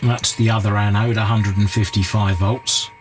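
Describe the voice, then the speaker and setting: A man giving an unscripted, halting workshop commentary, thinking aloud as he works at a repair bench. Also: on the low side, little pitch movement, faint music behind. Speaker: hobbyist radio repairer and video host. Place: indoor repair workbench. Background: loud noise, radio and test-tone sounds.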